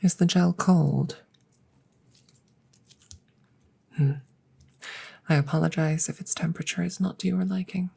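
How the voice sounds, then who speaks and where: Woman playing a low, husky, clinical alien scientist. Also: steady tone, warm tone, heavily acted. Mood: calm, politely concerned. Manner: formal, measured, deliberate, with breathy whispered phrases. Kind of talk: ASMR audio roleplay.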